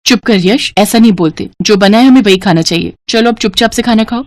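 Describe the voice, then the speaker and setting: A woman's voice: mid-range voice, a little fast, no room sound, close to an everyday voice. Woman acting an earnest, sentimental grown daughter. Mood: gently scolding. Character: sentimental grown daughter and mother visiting her childhood home.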